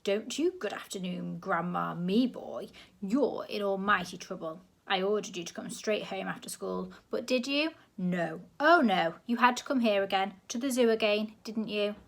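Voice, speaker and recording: A woman doing a loud, bossy, half-deaf granny. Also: mid-range voice, animated, normal speed. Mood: scolding. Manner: barking, scolding, shouting.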